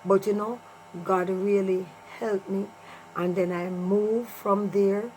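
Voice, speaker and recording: A woman's voice: mid-range voice, fairly even intonation, slow pace, a little mellow, low-quality recording. Jamaican woman storytelling over humming noise. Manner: emphatic, repeats phrases for effect. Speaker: vlogger telling a personal story. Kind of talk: casual personal storytelling to viewers. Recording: clear mains hum and noise.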